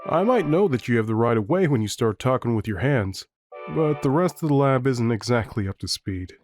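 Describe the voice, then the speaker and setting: Man as easygoing skeleton intern for a comic dub: mid-pitched, lively swings, casual, dryly joking. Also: brisk, lightly acted. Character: laid-back, wry young male skeleton lab assistant. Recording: faint music behind the voice.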